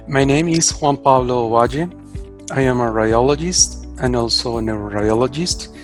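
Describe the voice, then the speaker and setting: Man introducing himself over soft music. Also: medium pitch, moderate pace. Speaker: neuroradiologist. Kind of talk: short video self-introduction.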